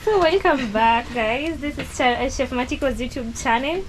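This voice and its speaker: A woman's voice: high-pitched, moderate pace, slight background noise. A Kenyan woman giving casual vlog narration while filming a family shop kitchen outdoors, explaining things with plenty of "you know" fillers. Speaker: vlogger filming a relative's shop.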